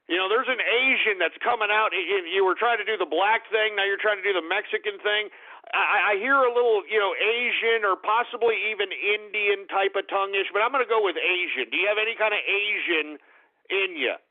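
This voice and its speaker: A male radio host taking calls on a call-in show, ranting and shouting exclamations. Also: high-pitched, fairly quick. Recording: lo-fi narrow-band broadcast with faint music.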